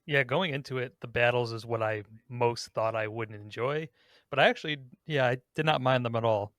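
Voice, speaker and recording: A man hosts a casual podcast discussion in a mid-pitched voice. The sound is dry and close, with very little room to it. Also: normal speed, a bit bright, no room sound. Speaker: podcast host.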